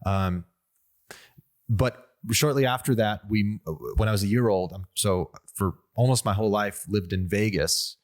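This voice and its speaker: A man's voice: lowish voice, fairly even intonation, unhurried. A young man from the United States whose first language was Armenian, hosting a casual conversation with frequent hesitations and restarts. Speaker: podcast host.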